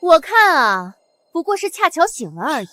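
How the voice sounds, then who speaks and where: Arrogant noblewoman villain; high, lively, scornful delivery over drama score. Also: moderate pace, soft background music, exaggerated voice. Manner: sneering, haughty, scornful. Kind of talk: costume drama acting.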